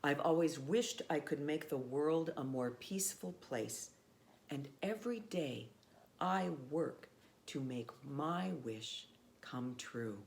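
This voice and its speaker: Low-pitched, unhurried woman reading to children. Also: expressive, vocal fry, slight background noise. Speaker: pastor reading a children's message. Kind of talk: storybook read-aloud, addressed to children.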